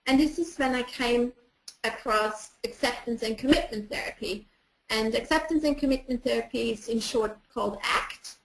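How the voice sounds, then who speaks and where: Woman, Austrian German accent, high voice, patient explainer, clinical psychologist, echoey room. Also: normal speed, vocal fry, slight background noise. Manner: explaining patiently, with frequent ums. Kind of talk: online presentation.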